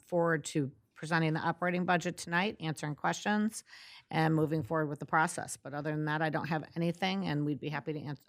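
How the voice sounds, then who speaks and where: A woman executive director giving a short report at a board meeting with a loud, projecting voice, close to the microphone. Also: deep voice. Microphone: talks right into the microphone.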